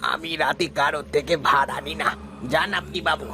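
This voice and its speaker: Male actor as old ghost carter, cartoon; bright, slightly high voice; slow, quiet menace. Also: slight background noise. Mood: ominous. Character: eerie old male cart driver ghost, calm and knowing. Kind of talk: cartoon voice acting.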